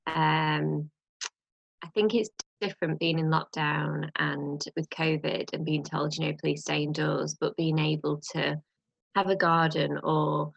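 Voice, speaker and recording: A young British woman chatting as a podcast co-host, her voice low and gravelly with a slight cold croak. She rambles along with plenty of fillers, recorded close and dry with no room sound. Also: steady tone, measured pace, no echo, dull audio. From Britain. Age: young adult. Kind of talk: casual chat.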